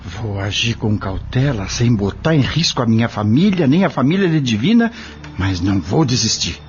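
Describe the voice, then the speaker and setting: An anxious, stubborn Brazilian father in a radio drama, acted by a man. He is earnest and expressive, with a very lively pitch. The recording is slightly dull, with faint noise. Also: moderate pace, lightly acted. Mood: resolute. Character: stubborn, anxious young father and farm worker. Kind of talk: audio drama acting in Portuguese.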